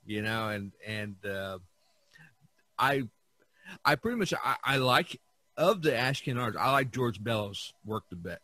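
A man hosting a casual art discussion, halting and repeating words as he searches for them. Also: medium pitch, sharp tone, no room sound. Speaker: podcast host.

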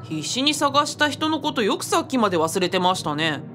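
Woman acting a wry, high-voiced, lively companion. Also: brisk. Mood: teasing. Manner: animated, sardonic banter. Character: wry, teasing, exasperated companion of a spirit. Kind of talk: radio drama acting.

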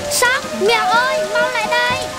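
Casting: kind young cartoon animal, very high childlike voice, eager and coaxing, music behind. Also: little pitch movement, moderate pace. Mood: urging. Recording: music bed, rain sounds behind. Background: music and rain.

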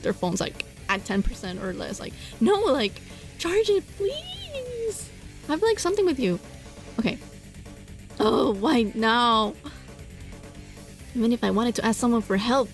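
A woman hosting a gaming video, reading a visual novel aloud expressively and adding casual, giggly reactions. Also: high-pitched. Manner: expressive, dramatized read-aloud with giggly asides. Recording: music behind the voice. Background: game music.

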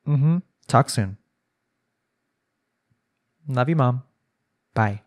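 A man's voice: medium pitch, animated, drawn-out words. A man voicing a young newlywed husband in an arranged marriage, speaking conversationally in an intimate audio roleplay. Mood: reassuring, weary.

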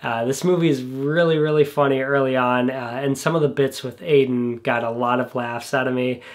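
A young man sits in a small home studio and talks through films he likes. He sounds a little congested, as if getting over a cold. His manner is relaxed and enthusiastic, with plenty of filler words along the way. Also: medium pitch, moderate pace. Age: young adult. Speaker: film reviewer. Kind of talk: casual scripted review. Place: small bedroom studio indoors.

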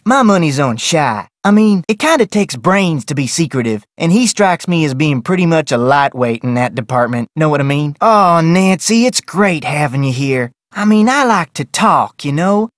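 An actress playing a chatty, bossy, easily rattled ranch cook in an adventure game, talking in a folksy, emphatic way. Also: female voice, deep voice, dry recording, studio quality. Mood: chatty, gossipy. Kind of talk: scripted adventure game character acting.

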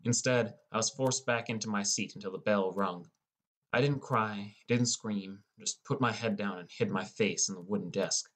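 Low-voiced man narrating an audiobook. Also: normal speed, a bit bright. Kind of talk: scripted first-person story narration.

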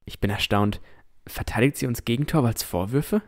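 A man's voice: medium pitch, normal speed, close to an everyday voice. A man playing a cautious, easily amazed boy who narrates his own adventure in a scripted fantasy audio drama.

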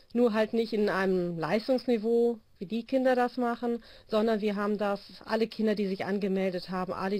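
A woman's voice: mid-range voice, a little mellow. A woman in her forties, a volleyball coach, explaining her training system in a video call interview with a phone held to her ear. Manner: explanatory, many hesitation fillers. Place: small room indoors.

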